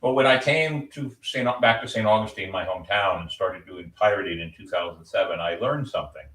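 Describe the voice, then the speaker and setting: A man's voice: low voice, brisk, a bit bright, distant mic. A man hosting a podcast, talking energetically and rambling, laughing often. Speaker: podcast host. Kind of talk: casual podcast chat. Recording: roomy, off-mic.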